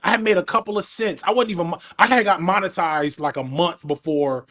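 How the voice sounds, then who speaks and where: A man in his thirties, a former teacher, talking over a headset on a video call in a reflective, fast, self-interrupting way. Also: high voice, pushed and bright. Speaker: guest, video essayist. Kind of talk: casual video call chat. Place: room at home indoors.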